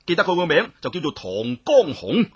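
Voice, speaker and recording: Storyteller for Cantonese audiobook: lively swooping delivery, slightly raspy, dramatic, chatty asides. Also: mid-range voice, fairly quick, highs cut off. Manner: dramatic, colloquial, exclamatory asides. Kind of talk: animated scripted audiobook storytelling.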